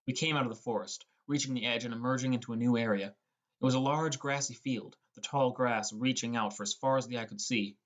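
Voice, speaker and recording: A young man narrates a fantasy adventure in the first person. His pitch sits in the middle for a male voice. The delivery is that of a scripted audiobook reading. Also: fairly even intonation, fairly quick, fairly bright tone.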